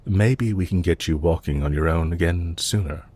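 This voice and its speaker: A man playing a suave, calculating villain leader in an intimate audio roleplay, speaking to the listener politely and softly, with measured, lightly teasing courtesy. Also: deep voice, slightly rough. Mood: considerate.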